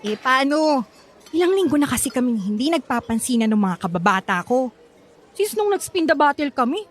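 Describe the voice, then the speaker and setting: A woman's voice: sits high, big pitch swings, fairly quick. A woman acting a stubborn, guarded young woman in a radio drama, casual and bickering, with a Filipino accent. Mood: sulky, defensive.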